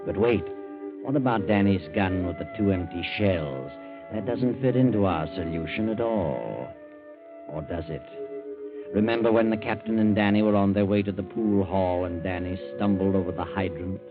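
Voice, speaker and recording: Sinister mystery host, American, deep voice, knowing, teasing, vintage radio narration, narrow thin sound. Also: male voice. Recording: tinny 1940s radio with music.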